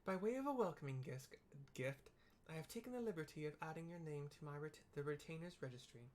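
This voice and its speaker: Man playing a genteel lady leader, attempted British accent, courtly game dialogue, lifted pitch, noisy background. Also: expressive, brisk, darkish tone, close to an everyday voice. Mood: formal, gracious. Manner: courtly, formal, stumbling over words. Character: genteel, formal young lady leader of an order. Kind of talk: amateur read-aloud of game dialogue. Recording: clearly audible background noise.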